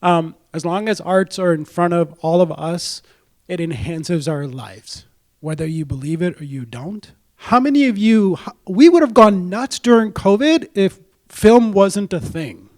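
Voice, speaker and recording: A man's voice: high voice, expressive, unhurried, a little mellow. A man from India, giving a casual talk to a club audience as a guest speaker and dropping in frequent "right?" asides.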